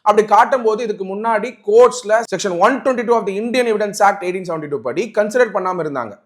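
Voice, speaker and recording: A man with a Tamil accent speaks in a distinctly high register. He presents a legal explainer like an advocate teaching students, methodically restating each key point. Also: a little fast. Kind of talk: explanatory legal talk mixing Tamil and English.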